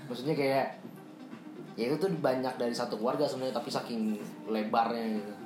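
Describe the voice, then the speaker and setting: A man's voice: mid-range voice, steady tone, quick pace. A man hosting a podcast, chatting casually in slang and prompting his guest with questions. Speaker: podcast host. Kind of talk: casual interview chat. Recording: echoey room, faint music.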